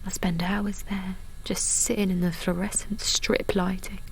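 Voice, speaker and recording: A woman's voice: mid-range voice, flat delivery, measured pace, gravelly, slight background noise, rough recording. A woman playing an anxious, naive young mother in an intimate audio drama. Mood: hushed, aching. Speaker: actor and narrator in an audio drama. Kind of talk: intimate first-person audio drama acting.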